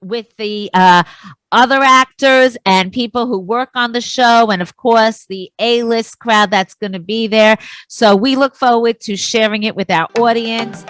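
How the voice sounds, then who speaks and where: A woman hosting a radio talk show, brisk and steering the interview. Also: on the high side, a bit bright, no echo, faint music behind.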